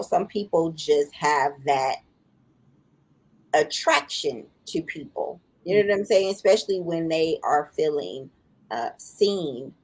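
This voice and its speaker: A woman co-hosting a podcast, talking in a thoughtful, halting way with many restarts. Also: low voice, poor audio. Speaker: podcast co-host. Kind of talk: casual chat.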